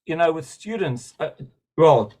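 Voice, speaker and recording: Male participant, higher-pitched, eager and digressive, webinar call. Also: animated, a little slow, darkish tone. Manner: enthusiastic, rambling, digressive. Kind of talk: spontaneous comment in a webinar. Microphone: video call.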